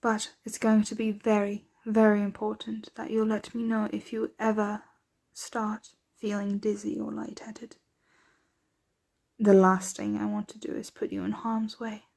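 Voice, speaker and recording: A woman playing a centuries-old, doting, aristocratic vampire mistress in an ASMR roleplay, speaking softly, tenderly and without hurry, often slipping into whispers. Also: medium pitch, flat delivery, vocal fry. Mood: attentive, tender. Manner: soft, unhurried, tender, often drifting into whispers.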